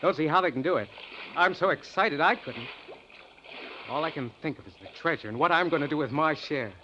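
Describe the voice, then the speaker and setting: An actor playing an eager, plain-spoken sailor in 1940s radio style, with casual, clipped talk. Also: male voice, on the high side, fairly quick, pushed and bright. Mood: chatty, puzzled. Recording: dull old radio drama recording, faint noise.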